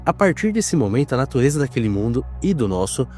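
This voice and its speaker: A man with a Brazilian accent narrating a scripted horror mock documentary in a solemn, measured, dramatic manner. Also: medium pitch, sing-song, darkish tone, soft background music.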